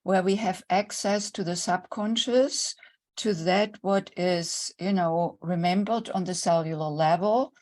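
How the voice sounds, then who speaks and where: A woman's voice: lowish voice, a little slow, a little mellow. An older woman from Germany with a German accent, a guest speaking earnestly and reflectively about her own story in an online interview. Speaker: guest, intimacy coach.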